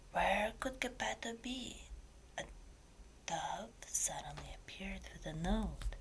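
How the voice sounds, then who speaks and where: A woman reading a fairytale as ASMR in a breathy whisper, slowly and deliberately. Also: medium pitch, slight background noise, low-quality recording. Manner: slow, deliberate storytelling delivery. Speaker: ASMR creator and story reader.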